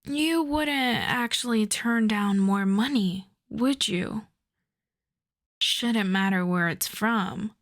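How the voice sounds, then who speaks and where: A woman playing a wry, flirtatious female supervillain coaxing a favor, in an intimate, conversational ASMR roleplay style. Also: medium pitch. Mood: coaxing, sheepish. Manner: unhurried, teasing, conversational.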